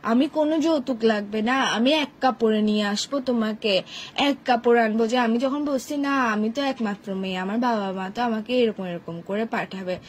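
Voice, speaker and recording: A woman's voice: a little high, moderate pace, a little hiss or hum. A young woman with a Bangladeshi Bengali accent, scolding and insistent in a casual phone quarrel. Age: young adult. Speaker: girlfriend in a phone argument.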